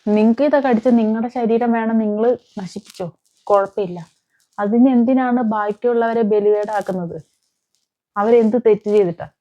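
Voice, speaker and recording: A woman's voice: fairly high pitch, fairly quick, warm tone, highs cut off. A woman giving emphatic, opinionated commentary on crime as a vlog host, repeating phrases and posing rhetorical questions. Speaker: vlogger, host.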